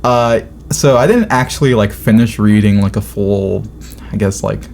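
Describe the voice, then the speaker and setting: A man's voice: fairly low pitch, moderate pace, a little mellow. Casual American man, noisy recording. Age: young adult. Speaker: podcast host. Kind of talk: casual conversational chat. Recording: clearly noisy room ambience.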